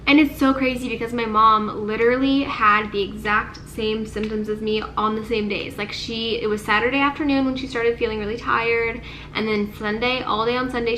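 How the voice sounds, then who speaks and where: A woman's voice: on the high side, sharp tone, a little hiss or hum, poor audio. A young woman chatting casually to camera, her voice nasal and congested from illness, filling her talk with "like.". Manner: chatty, sprinkles speech with "like". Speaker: vlogger.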